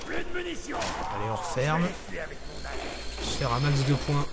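A young man hosting a gaming channel, talking through a zombie game in casual commentary, hesitant with lots of pauses and fillers. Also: a little high, wildly swooping pitch, heavy background noise. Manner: hesitant, many pauses and fillers, talks while playing.

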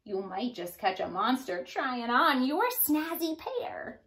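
A woman in her thirties giving an expressive storybook read-aloud for young children, like a teacher addressing her class. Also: high-pitched, takes it slowly. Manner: animated, addressing young children, sounding out rhymes. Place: small indoor room.

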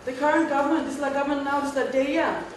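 Woman, high voice, Papua New Guinean accent, formal parliamentary reply, far-off microphone. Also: little pitch movement, rapid-fire. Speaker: government respondent in a practice parliament. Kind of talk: formal parliamentary answer, partly improvised. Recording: very echoey hall, distant mic, faint noise.

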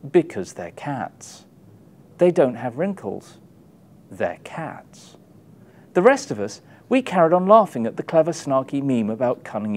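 A man in his thirties giving an expressive, wry reading from his book, with emphatic delivery and dramatic pauses. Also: medium pitch. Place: small indoor room, publisher's backdrop. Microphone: lapel mic.

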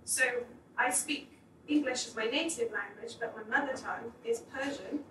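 A woman's voice: a little high, moderate pace, sharp tone. A woman in the audience at a public talk, asking a long, reflective question. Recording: echoey room, distant mic, faint noise.

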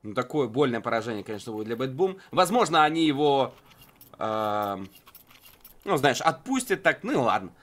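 A man's voice: medium pitch, very animated, normal speed, fairly bright tone. A man giving conversational live esports commentary in a wry, joking manner.

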